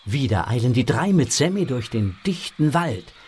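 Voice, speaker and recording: A man narrating a scripted children's audio story with a husky voice. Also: medium pitch, sing-song, normal speed, darkish tone, a little hiss or hum.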